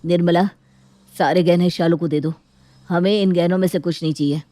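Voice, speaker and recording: A woman's voice: on the low side, steady tone, moderate pace, dark tone, no room sound, close to an everyday voice. A woman voicing a hardworking shopkeeper, the aggrieved elder brother in a dramatized moral story, earnest and protesting. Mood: resigned.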